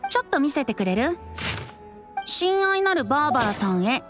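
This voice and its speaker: A woman voicing a playful, gentle young male bard in an anime game, with a light, easygoing manner. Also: high-pitched, sing-song, normal speed, faint music behind. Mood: curious.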